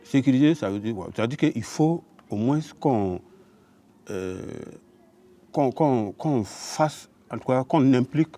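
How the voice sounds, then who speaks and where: A man with a Burkinabe accent giving his opinion in an interview, halting and repeating himself. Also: medium pitch, lively intonation, warm tone.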